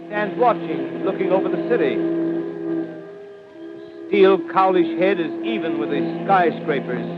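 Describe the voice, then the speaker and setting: An actor playing a panicked male radio announcer in a 1930s radio drama, reporting in urgent, breathless bursts of dread. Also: sits high. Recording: muffled 1930s radio recording with music.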